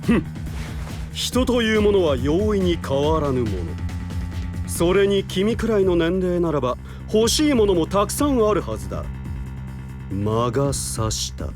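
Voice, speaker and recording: Haughty prosecutor, high and animated. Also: male voice, a little slow. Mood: stern, cutting. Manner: pompous, archaic commanding phrasing, sardonic. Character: haughty, merciless male prosecutor pressing the accused. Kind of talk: audio drama acting. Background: background music.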